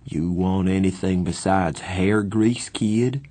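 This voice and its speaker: A man's voice: sits low, takes it slowly, warm tone. A cheerful young greaser from a storybook , played by a man narrating. He talks in loose, folksy slang with an easygoing, teasing friendliness.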